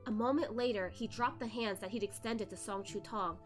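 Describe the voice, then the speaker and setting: A woman's voice: mid-range voice, brisk, faint music behind. A woman reading a novel aloud as a streamer host, narrating expressively with casual asides. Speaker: host of a novel read-along, virtual streamer.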